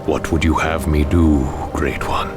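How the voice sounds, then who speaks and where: An actor playing a proud, brooding ancient demon hunter lord in a game cutscene, solemn, grandiose and commanding. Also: male voice, extremely low pitch, level tone, measured pace. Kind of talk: video game cutscene acting. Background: music.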